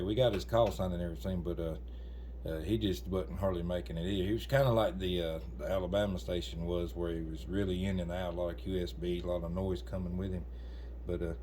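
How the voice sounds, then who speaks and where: A man's voice: low-pitched, dark tone, a little hiss or hum. A man chatting casually as an amateur radio operator, in a folksy way with colloquial grammar.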